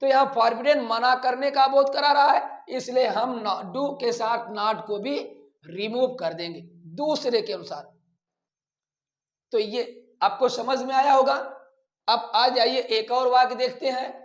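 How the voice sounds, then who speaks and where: A man with an Indian accent teaching a grammar lesson in a didactic way, repeating phrases deliberately. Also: very high-pitched, highs cut off. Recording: echoey room sound.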